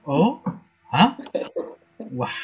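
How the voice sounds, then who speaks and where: A hoarse man giving an online university lecture, pausing often with fillers, heard over a video call. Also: on the high side, sing-song, a little hiss or hum. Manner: hesitant, many filler pauses. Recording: dull lo-fi video call audio.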